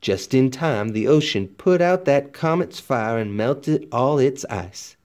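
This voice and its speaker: A man's voice: mid-range voice, animated, slow pace, a little mellow. A man narrating a children's storybook expressively, with folksy, dramatic emphasis like a teacher reading aloud.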